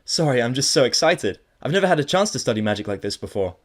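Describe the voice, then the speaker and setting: A man voicing an excitable bookish young mare. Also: mid-range voice, quick pace, pitched above the performer's own voice, lightly acted. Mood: excited. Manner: eager, gushing.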